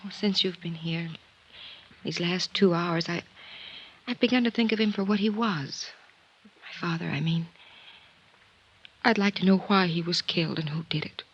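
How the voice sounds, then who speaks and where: A woman's voice: lowish voice, moderate pace, long pauses, slightly rough. Earnest young woman, muffled vintage radio. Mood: hesitant, tender. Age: young adult. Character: earnest, wounded young woman, racketeer's orphaned daughter. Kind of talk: 1950s radio drama acting. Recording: dull 1950s radio recording.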